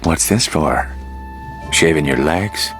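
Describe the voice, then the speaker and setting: Gruff Irish brawler, gravelly and animated. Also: male voice, a bass voice, takes it slowly, full character voice. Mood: wry, flirtatious. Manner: gruff, cocky, swearing. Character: brash, foul-mouthed Irish race car driver and brawler. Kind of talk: video game cutscene acting. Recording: game audio with prominent music.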